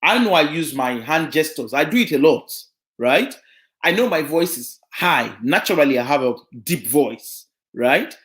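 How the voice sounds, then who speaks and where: Animated African woman giving parents advice. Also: deep voice, moderate pace. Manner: animated, keeps checking in with "right?"; earnest, stresses points by repeating them. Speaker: social work speaker on a live broadcast.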